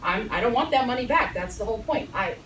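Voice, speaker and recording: A woman's voice: a little high, animated, fast talker, a bit bright, far from the mic. A woman from the former Soviet Union, a public speaking trainer telling stories to a group and acting out other people's lines. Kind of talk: storytelling talk to a group. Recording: echoey room heard from afar, noisy.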